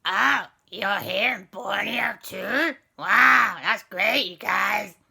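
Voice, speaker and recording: A woman's voice: medium pitch, slow, creaky, pushed and bright, slightly rough, heavily acted. A woman voicing a friendly man who is overheated in the jungle and drawls complaints about the heat.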